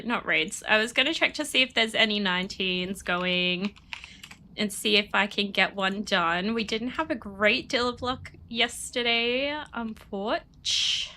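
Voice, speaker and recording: Woman gaming streamer, slow chatty rambling, bright voice, bit sniffly from a cold. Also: medium pitch, creaky. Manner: rambling, chatty, laughs often. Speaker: game streamer. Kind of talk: casual chat while playing.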